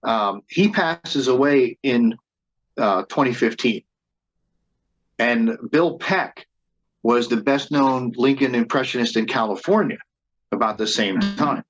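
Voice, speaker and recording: A man's voice: mid-range voice, slow pace, dull audio. A man who leads a band, telling stories in a casual interview and often restarting and repeating words as he goes. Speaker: band leader and reenactor being interviewed.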